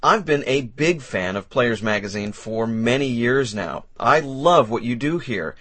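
A man's voice: mid-range voice, animated, unhurried, fairly bright tone, no echo. A man playing a polite, enthusiastic young job applicant in a scripted learner dialogue. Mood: eager. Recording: dry studio sound.

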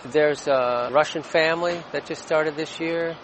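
A man's voice: on the high side, measured pace. A man speaking in interview remarks as a representative of a market. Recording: clear outdoor street noise.

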